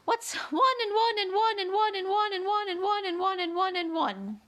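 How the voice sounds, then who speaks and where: A woman voicing a feeble, flustered, anxious queen with a fluttery, high, wavering way of speaking, reading a storybook aloud. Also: animated, normal speed, fairly bright tone. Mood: fussy.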